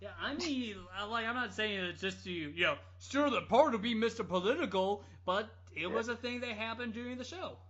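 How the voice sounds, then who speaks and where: A man telling a story casually on a podcast, halting and rambling as he goes. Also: high-pitched, a bit bright. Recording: dull lo-fi audio.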